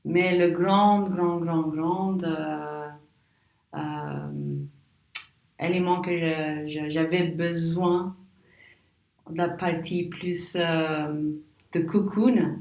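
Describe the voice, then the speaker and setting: A woman's voice: sits low, little pitch movement, extremely slow, a little mellow, roomy sound, recorded from a distance. A woman with an English-speaking Canadian accent, a native English speaker, answering interview questions hesitantly as she searches for words in a second language. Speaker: guest, naturopath. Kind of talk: podcast interview.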